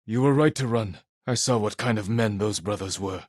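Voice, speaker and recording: An actor playing a solemn, resolute samurai warrior in a video game, speaking in a measured, earnest, formal way. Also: male voice, fairly low pitch, no room sound, studio quality, lightly acted. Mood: urgent, firm. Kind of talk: dramatic video game voice acting.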